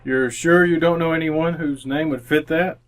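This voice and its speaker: A man playing a shrewd, methodical police inspector in an old-time radio mystery, with a measured, formal way of questioning. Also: fairly high pitch, steady tone, natural voice. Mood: probing, formal. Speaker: amateur radio actor.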